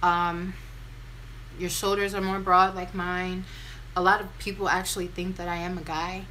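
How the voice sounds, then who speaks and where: Deep, bright-voiced American woman, chatting candidly. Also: little pitch movement, normal speed, slight background noise, low-quality recording. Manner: candid, self-deprecating, informal. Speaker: vlogger. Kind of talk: casual chatty get-ready-with-me storytime.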